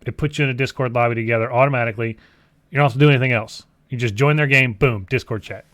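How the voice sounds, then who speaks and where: A man's voice: medium pitch, racing, a bit bright, dry recording. Blunt man co-hosting gaming talk, dry sound. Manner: blunt, profane, emphatic repetition. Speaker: co-host of a gaming show. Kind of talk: casual chat about video games.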